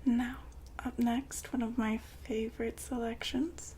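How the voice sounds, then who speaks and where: Softly whispering, unhurried woman as a robot-shop saleswoman. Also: fairly high pitch, flat delivery, slight background noise. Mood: calm, attentive. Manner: polite, unhurried, softly whispered customer-service talk. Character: courteous, soothing saleswoman at a futuristic fembot-building shop. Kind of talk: whispered ASMR roleplay.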